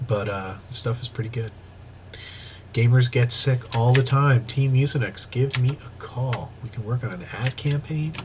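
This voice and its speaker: Man, stuffy sniffly nose, game caster, excitable play-by-play, average pitch, noisy old mic. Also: normal speed. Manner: excitable play-by-play with casual asides. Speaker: game commentator. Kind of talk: casting a strategy game replay. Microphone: old microphone he wants replaced.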